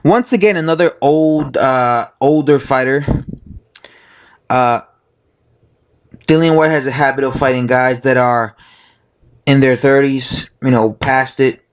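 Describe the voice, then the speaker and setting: A man with a hoarse voice from being sick, giving a casual, rambling opinion monologue as a boxing vlogger, full of fillers and self-corrections. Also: mid-range voice, a little slow, long pauses.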